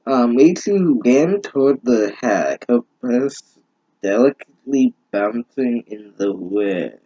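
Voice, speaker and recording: A man doing a comedic story reading with halting, sarcastic, exasperated commentary and plenty of swearing. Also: mid-range voice, mellow, slightly rough. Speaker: host reading and mocking fan fiction. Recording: dull lo-fi home recording.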